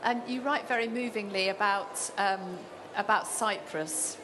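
Softly probing woman interviewer, noisy room. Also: mid-range voice, a little slow. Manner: gentle, searching questions with fillers. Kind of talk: interview questions.

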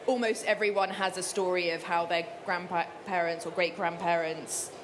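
A woman's voice: fairly low pitch, steady tone, moderate pace. Thoughtful woman author, noisy room. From Cyprus. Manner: thoughtful, with many fillers and restarts. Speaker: author guest. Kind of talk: reflective interview answers.

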